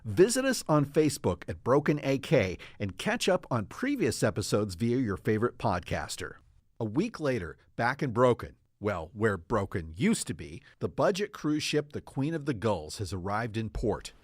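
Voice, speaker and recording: A man announces and narrates a radio drama serial. His medium-pitched voice is animated, moving freely up and down, and recorded close and dry. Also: normal speed, dry recording.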